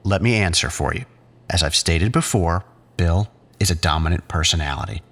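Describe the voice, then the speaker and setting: Fields: low-voiced, condescending psychiatrist played by a man. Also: fairly bright tone. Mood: stern, coaxing. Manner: measured, clinical, patronising. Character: condescending, controlling male psychiatrist leading group therapy. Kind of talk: audio drama acting.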